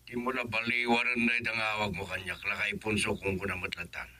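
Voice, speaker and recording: A man with an Ilocano accent playing a gruff, teasing older neighbor in a radio drama. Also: on the low side, little pitch movement, fairly quick, sharp tone. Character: old man Ponso, inviting neighbor.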